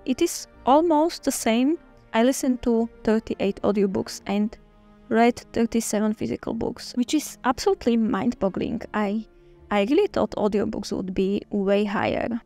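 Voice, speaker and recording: Gravelly-voiced woman. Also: medium pitch, darkish tone. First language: Slovak. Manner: chatty, enthusiastic, with small restarts. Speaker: book vlogger. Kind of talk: casual reading-journal flip-through.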